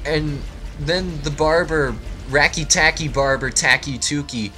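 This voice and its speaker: Man, let's play storyteller, bright voice, slow halting mock-dramatic narration, music behind. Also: on the high side. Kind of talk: improvised mock fairy-tale narration.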